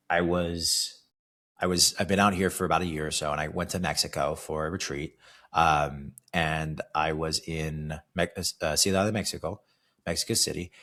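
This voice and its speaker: A man's voice: low voice, a bit bright. A man from California, a fitness coach hosting a solo podcast, talking casually and often tagging his sentences with "right?". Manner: conversational, ends many phrases with a questioning "right?". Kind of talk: solo motivational podcast monologue.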